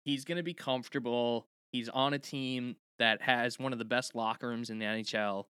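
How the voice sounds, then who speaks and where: A man's voice: medium pitch, steady tone, normal speed, sharp tone, clear, resonant tone, dry recording, studio quality. A man co-hosting a hockey podcast, chatty and emphatic, peppering his talk with "like". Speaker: podcast co-host. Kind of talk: casual hockey podcast chat.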